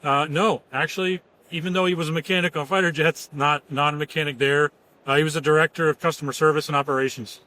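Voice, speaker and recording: A man's voice: fairly high pitch, steady tone, quick pace, pushed and bright. A man from near Philadelphia, a former Marine and company founder, telling his story in an easygoing, matter-of-fact way. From Pennsylvania, near Philadelphia. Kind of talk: casual interview.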